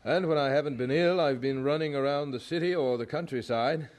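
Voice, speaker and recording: An actor playing a gracious, weary young preacher in an audio drama, courteous, devout and earnest in manner. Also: male voice, a little high, brisk, darkish tone, close to an everyday voice. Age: young adult.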